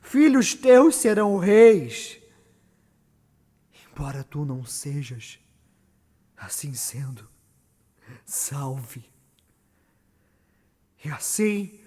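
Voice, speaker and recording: A man's voice: high voice, heavily acted. A Brazilian man playing eerie, prophesying witches in a declamatory, incantatory theatrical Shakespeare monologue. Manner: declamatory, drawn-out, swinging from hush to loud chant. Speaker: stage actor. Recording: long reverberant hall echo.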